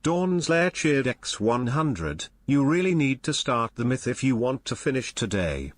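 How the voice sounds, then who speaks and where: A synthetic male voice reading out viewer messages and alerts in an even, flat way. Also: mid-range voice, a little slow, no room sound. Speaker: text-to-speech alert voice.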